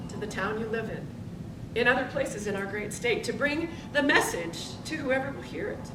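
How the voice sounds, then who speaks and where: A woman playing an earnest, eager newspaper reporter in a live stage play, recorded in an echoey room. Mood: wistful, resolute. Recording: echoey noisy room, distant mic, low rumble.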